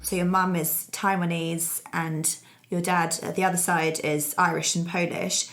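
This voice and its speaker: Slow-talking woman podcast host. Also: on the low side, level tone. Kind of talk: casual interview questions.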